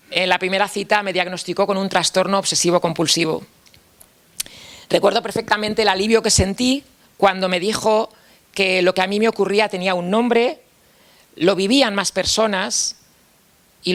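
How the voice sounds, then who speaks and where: A man's voice: high-pitched, fairly bright tone. Candid Spanish man giving a reflective talk. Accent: Spanish from Spain. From Spain, Castilla-La Mancha. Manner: reflective and candid, repeats and restarts phrases, ends points with a questioning tag. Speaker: speaker at a mental health talk. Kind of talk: personal testimony talk.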